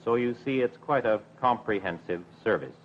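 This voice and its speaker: A middle-aged man, a broadcasting official, answering in a measured, careful, formal way with some hesitation in a 1950s television interview. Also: lowish voice. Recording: dull 1950s television recording with hum.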